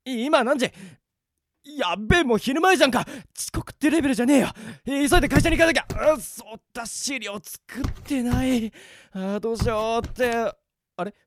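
An actor playing a flustered young office worker in a radio drama, blunt and casual, muttering and exclaiming. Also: male voice, very high-pitched, brisk, no room sound. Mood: panicked. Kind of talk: Japanese radio drama acting.

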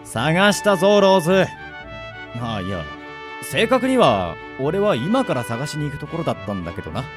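A man playing an easygoing middle-aged swordsman mentor in a radio drama, moving between polite formality and gruff casual talk. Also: on the high side, wildly swooping pitch, normal speed, over music. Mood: gruff, teasing. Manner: switches between smooth polite formality and rough casual drawl. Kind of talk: anime-style radio drama acting.

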